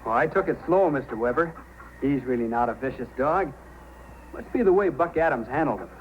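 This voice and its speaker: An actor playing a gentle, earnest young male dog handler in an old-time radio drama. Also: mid-range voice, expressive, brisk, a little hiss or hum, close to an everyday voice. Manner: coaxing, soothing, earnest. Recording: tinny old radio broadcast with loud music.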